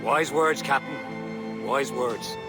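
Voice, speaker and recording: An actor playing a steady, commanding sailor and peacemaker in a video game. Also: male voice, mid-range voice, slow, over music, close to an everyday voice. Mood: respectful.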